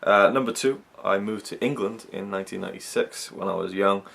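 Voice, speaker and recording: Low gravelly young Welsh man. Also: fairly quick, fairly bright tone. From Wales. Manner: rambling, chatty, many fillers. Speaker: YouTube reviewer. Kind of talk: casual chat to camera.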